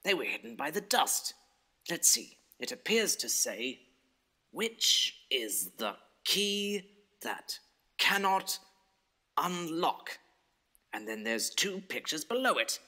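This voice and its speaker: Bumbling adventure hero played by a man for audio story: theatrical, lively, swooping pitch, quaint old-fashioned turns. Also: takes it slowly, exaggerated voice. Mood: pondering. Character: bumbling excitable adventurer hero, thinking aloud.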